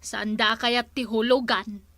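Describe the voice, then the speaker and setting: A woman's voice: a little high, level tone, slow pace, fairly bright tone, no echo. A woman playing an envious, gossipy neighbour who grumbles scornfully in a radio comedy drama.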